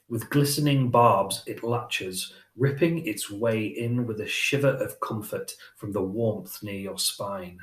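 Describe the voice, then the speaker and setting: Low-voiced man reading poetry, roomy sound. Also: fairly bright tone. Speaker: poetry reader.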